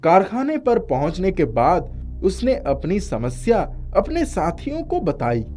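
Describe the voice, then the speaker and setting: A man's voice: sits high, wildly swooping pitch, a little slow, a little mellow, soft background music. A man narrating a scripted moral story as a storyteller.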